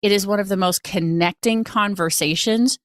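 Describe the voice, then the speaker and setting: A woman's voice: medium pitch, normal speed, no echo, studio quality. A woman hosting a podcast and coaching, chatting in a casual, emphatic and gushing way, often repeating phrases for effect. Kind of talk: casual, animated interview chat.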